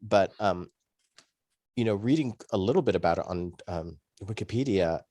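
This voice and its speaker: A man's voice: deep voice, expressive, normal speed, warm tone, no room sound. A man speaking as a podcast panelist, explaining things in a rambling, conversational way. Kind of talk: conversational discussion.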